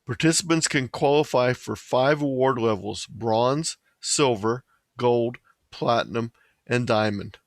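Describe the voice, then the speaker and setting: A man narrating a scripted slideshow presentation in a measured, slightly halting way, stumbling over the odd word. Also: mid-range voice, no room sound. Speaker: presenter of an amateur radio slideshow.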